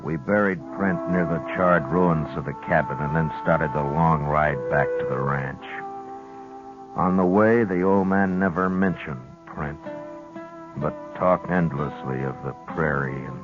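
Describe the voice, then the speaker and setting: A tough, somber Old West lawman with an extremely deep voice. He tells his tale in measured, terse phrases in the style of 1950s radio drama, on a dull old recording with music underneath. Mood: somber narration. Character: grave, tough US marshal of the Old West, narrating. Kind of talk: 1950s radio western acting and first-person narration.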